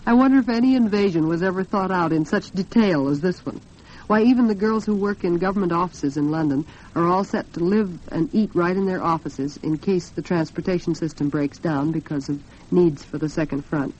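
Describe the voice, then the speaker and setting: A woman's voice: lowish voice, fairly quick, a little mellow. An American woman hosting a 1940s radio talk program, speaking in an earnest, articulate and polished way. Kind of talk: 1940s radio women's talk program, commentary and sponsor read. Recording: dull lo-fi 1940s radio recording.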